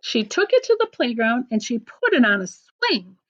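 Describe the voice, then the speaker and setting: Female children's read-aloud storyteller, higher-pitched and very animated, deliberate sing-song phrasing, slightly muffled lo-fi recording. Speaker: teacher reading aloud to children. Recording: dull lo-fi sound.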